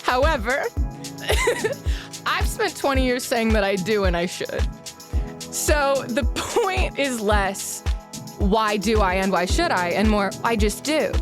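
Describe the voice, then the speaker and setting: A woman's voice: a little high, big pitch swings, slow pace, over music. A woman talking casually and improvising as a player in a tabletop game, giggly and playfully smug. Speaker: tabletop role-playing player.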